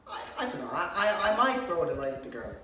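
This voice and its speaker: A man's voice: fairly high pitch, sing-song, bright, forceful tone. A man playing a distant, echoey, stammering young candidate. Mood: flustered. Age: young adult. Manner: stammering, halting, over-explaining. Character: awkward, defensive young male society presidential candidate. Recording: echoey room, distant mic, faint noise.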